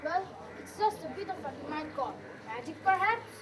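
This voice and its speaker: A modest, well-travelled old sergeant major played by a young woman on an amateur stage. The voice is extremely high, sits far from the microphone and has clear noise behind it. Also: lively intonation, moderate pace. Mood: modest, evasive. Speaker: student actor in a school play. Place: school stage, recorded from a distance. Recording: distant noisy school stage recording.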